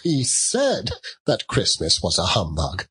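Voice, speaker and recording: A man voicing a jolly young gentleman in an audiobook, hearty and good-humoured, chuckling between phrases. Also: deep voice, huge pitch swings, takes it slowly, breathy edge, heavily acted. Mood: amused. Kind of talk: audiobook character voice.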